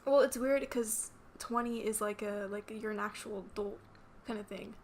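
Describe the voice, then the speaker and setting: A woman's voice: medium pitch, fairly even intonation, moderate pace, slight background noise. A woman in her late teens, a podcast guest, chatting and laughing often and swearing casually. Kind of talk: casual chat.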